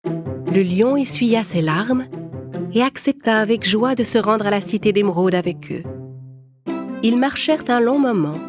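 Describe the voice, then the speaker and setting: A woman reading scripted narration for a children's fairy tale as the storyteller. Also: medium pitch.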